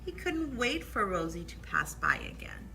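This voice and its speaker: A woman's voice: on the high side, creaky, background noise. A woman reading a children's picture book aloud at storytime, expressively, with asides on the pictures. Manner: expressive, pauses to point out pictures. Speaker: library storytime reader.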